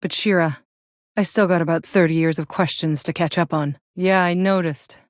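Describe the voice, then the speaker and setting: A woman's voice: lowish voice, steady tone, normal speed, no echo, studio quality, natural voice. A woman playing a tough, sardonic, battle-hardened soldier in a sci-fi video game, with a wry and blunt way of talking.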